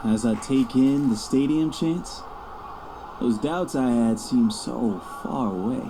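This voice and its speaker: A man's voice: medium pitch, warm tone, slight background noise. An actor playing a young star quarterback, cocky yet anxious, narrating his own story in an audio drama. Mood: confident, charged.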